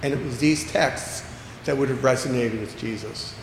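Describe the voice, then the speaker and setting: A man preaching a sermon as pastor, earnest, slowing down to stress key phrases and repeating lines for emphasis. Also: medium pitch. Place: church sanctuary. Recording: echoey church hall with long reverb, faint background noise.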